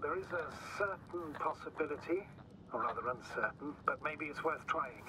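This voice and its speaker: Formal space commander over radio. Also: male voice, on the high side, expressive, noisy background. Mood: deliberate. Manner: formal, deliberate, precise. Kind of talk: video game voice acting.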